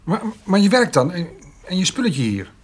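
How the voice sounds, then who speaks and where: A radio play character, an earnest villager. His voice is husky, and he speaks haltingly, as if unsure of himself. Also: high-pitched, sing-song, heavily acted. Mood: sceptical, probing. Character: hesitant, earnest village man, former lover. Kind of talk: radio drama acting.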